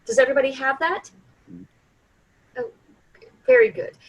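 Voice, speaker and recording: A woman playing a prim, flustered grief counselor in a staged dark comedy, speaking politely and formally with hesitations. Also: sits high, big pitch swings, moderate pace, rough recording. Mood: prim, procedural.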